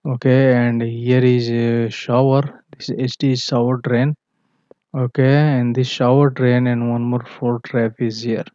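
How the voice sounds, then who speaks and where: A man tutoring on plumbing drawings, walking through a screen share in a halting way with many fillers and self-corrections. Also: medium pitch, level tone, mellow, no room sound. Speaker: MEP engineering tutor. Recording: dull, dry, lo-fi voice-over.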